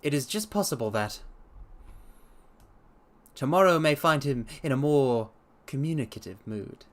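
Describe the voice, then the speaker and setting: A man voicing a self-assured gentleman detective in a storybook reading, brisk, precise and emphatic as he explains himself. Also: mid-range voice, animated, natural voice. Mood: analytical, confident.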